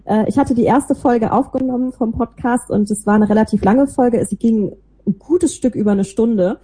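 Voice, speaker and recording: Chatty woman with a soft-edged, husky voice. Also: fairly high pitch, normal speed, rough recording. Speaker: interview guest, podcaster and small creative agency owner. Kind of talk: casual chat, telling about own life and work.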